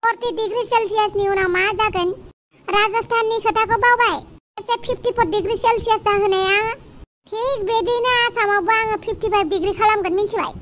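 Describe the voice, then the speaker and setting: A woman's voice: extremely high pitch, fairly even intonation, brisk, a bit bright, slight background noise. A woman voicing a proud, touchy sun queen in a cartoon, boastful and complaining. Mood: threatening, indignant. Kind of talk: cartoon dialogue.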